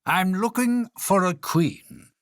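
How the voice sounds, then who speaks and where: A man voicing a fussy, self-pitying king who speaks up high and loud. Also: expressive, slow, no echo, clean studio sound, full character voice. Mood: exasperated.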